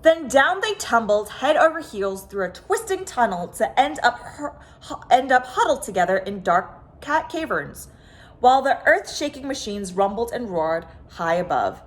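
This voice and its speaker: A woman reading a children's picture book aloud, expressive and dramatic, stretching words for emphasis. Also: on the high side, moderate pace. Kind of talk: animated children's story reading.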